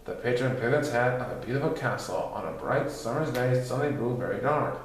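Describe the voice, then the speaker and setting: A man's voice: medium pitch, fairly quick, slight background noise. A man narrating a children's storybook expressively, recorded in a very echoey room. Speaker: storybook reader.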